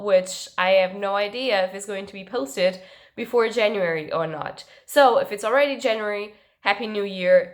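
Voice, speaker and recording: A woman's voice: mid-range voice, fairly bright tone. A woman vlogging about books in a casual, rambling, self-mocking way, her voice congested and coming through her nose from allergies. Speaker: book vlogger. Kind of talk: casual chat to camera.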